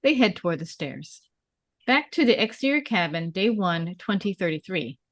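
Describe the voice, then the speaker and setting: A woman's voice: fairly low pitch, very animated, moderate pace, dry recording. A woman giving scripted narration, reading out stage directions at a table read.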